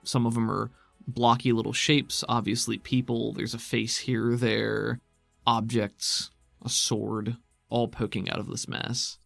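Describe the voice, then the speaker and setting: A man's voice: medium pitch, level tone, measured pace, creaky, no echo. A man narrating a tabletop roleplaying game as its game master. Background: background music.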